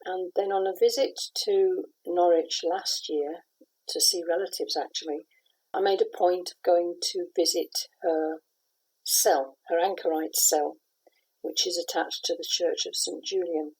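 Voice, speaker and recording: A middle-aged woman from the west of Scotland talks through her work in a husky, fairly low voice. She answers in a thoughtful, measured way, with pauses and restarts. She sounds like a playwright giving an interview. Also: fairly even intonation, darkish tone, poor audio.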